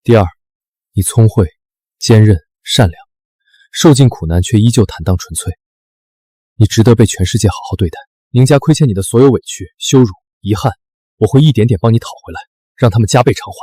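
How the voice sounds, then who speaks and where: A man's voice: lowish voice, fairly quick, dark tone, breathy edge, dry recording. An actor playing a protective, commanding young man in a short drama, speaking with firm assurance. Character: protective, commanding young male rescuer with cold authority.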